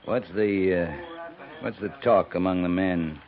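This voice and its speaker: An actor playing a firm, honourable cavalry captain in a 1950s radio western, clipped and authoritative. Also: male voice, low voice, steady tone, unhurried, a crowd in the background. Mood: probing. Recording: muffled noisy 1950s radio recording.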